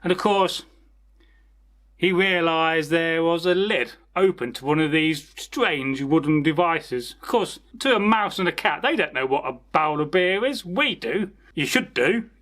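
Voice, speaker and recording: A high-voiced man hosts as a storyteller, spinning a folktale informally. He rambles chattily and often turns aside to address the listeners directly. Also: animated, a little slow, fairly bright tone. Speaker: storyteller, channel host.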